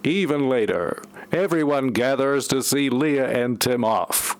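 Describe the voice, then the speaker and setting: A man's voice: medium pitch, a little slow, a little hiss or hum. A man narrating a parody audio play from a script, with wry asides.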